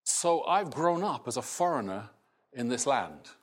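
A middle-aged man raised in Scotland, with a softened Scottish accent, preaching a sermon in a slow, halting way with many restarts. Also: mid-range voice, big pitch swings. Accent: Scottish, largely softened. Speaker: pastor.

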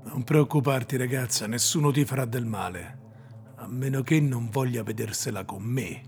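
A man voicing a swaggering male warlock who is defiant and protective, in an Italian radio drama, with a gravelly, husky voice and a mocking, combative manner. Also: mid-range voice, normal speed, darkish tone, a little hiss or hum, lightly acted.

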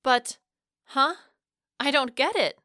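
A woman voicing a stubborn, sharp-tongued young woman in love and hurt, in emotional audiobook dialogue. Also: high-pitched, slow, dry recording, studio quality, voice pushed up, exaggerated voice. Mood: confused, hurt. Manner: emotional, blunt, argumentative.

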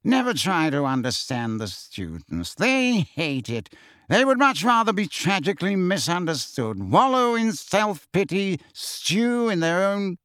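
A man's voice: high-pitched, big pitch swings, unhurried, a bit bright, no echo, studio quality, pitched above the performer's own voice, heavily acted. A man voicing a sly, haughty old wizard in a talking portrait for an audiobook, with a disdainful drawl. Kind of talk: audiobook character voice.

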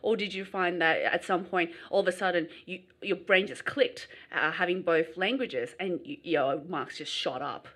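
A woman's voice: on the low side, normal speed, few pauses, fairly bright tone. A woman hosting a casual interview, asking curious questions and laughing easily. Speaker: host.

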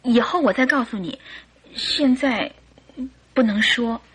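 A woman acting a gentle young English wife with a secretive streak in a Chinese radio drama. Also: a little high, measured pace, slightly rough, low-quality recording. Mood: guarded.

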